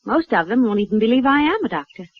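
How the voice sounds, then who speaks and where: An actress playing a matter-of-fact frontier woman doctor in a radio western, with a brisk, poised, dry delivery. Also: on the high side, very animated. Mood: composed, dry. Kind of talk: 1950s radio western acting. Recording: dull vintage radio recording.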